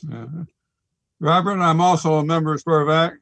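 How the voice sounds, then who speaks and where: A man chatting casually on a video call as a club member, halting and repeating words. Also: on the high side, fairly even intonation, a bit bright, dry recording. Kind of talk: casual chat. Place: online video meeting.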